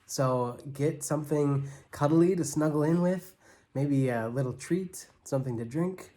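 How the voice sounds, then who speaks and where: A man reading a children's story aloud in an animated, expressive way, as a church storyteller. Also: a little high, a little slow. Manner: expressive, acts out characters' lines.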